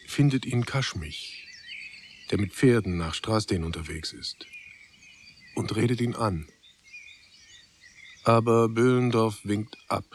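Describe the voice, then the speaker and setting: An actor as a sober chronicler, narrating a literary radio drama in measured, clipped phrases. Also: male voice, fairly low pitch, slight background noise. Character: sober, unhurried male chronicler of a literary tale.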